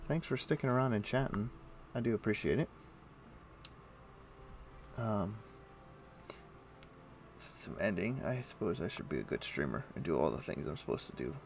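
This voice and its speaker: Gravelly-voiced man streaming a game. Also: on the low side, expressive, normal speed, pauses often, slight background noise. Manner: rambling, thinking aloud to himself. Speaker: livestream host. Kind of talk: casual gaming stream chat.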